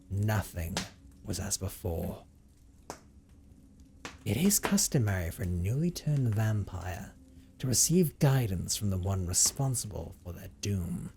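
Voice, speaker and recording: Low, hushed brooding vampire, acted by a man. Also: a little hiss or hum. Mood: bitter, restrained. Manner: measured, hushed, formal storytelling with breathy drops. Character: brooding male vampire recounting his tragic, guilt-ridden past. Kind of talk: dramatic audio roleplay monologue.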